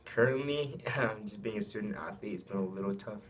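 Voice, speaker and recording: A man's voice: lowish voice, fairly quick, a little hiss or hum. A young man, a student athlete from Saipan raised in Japan, answering interview questions casually with many ums and likes. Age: young adult.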